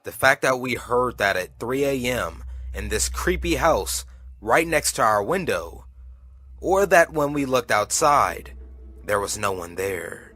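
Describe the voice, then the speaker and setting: A man tells a first-person horror tale in a crisp-edged, bright voice. His pitch moves expressively and his pace is a little slow, with dramatic pauses. Music plays behind him. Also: sing-song. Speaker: narrator. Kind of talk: scripted first-person horror story narration. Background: background music.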